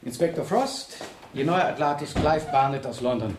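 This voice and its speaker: An actor playing a stern, fussy police superior in a radio crime drama, commanding, formal and sarcastic. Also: male voice, fairly high pitch, expressive, moderate pace, noisy background, natural voice. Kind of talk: 1970s German radio crime drama acting.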